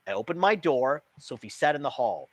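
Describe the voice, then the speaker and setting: A man reading a children's book aloud as an expressive storyteller. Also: medium pitch, brisk, no echo.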